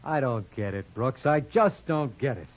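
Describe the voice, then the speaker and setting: An actor playing a brash, breezy, wisecracking lawyer in a glib, sardonic 1950s radio detective drama style. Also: male voice, mid-range voice, animated, moderate pace, lightly acted. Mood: agitated, insistent. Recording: dull, noisy old 1950s radio recording.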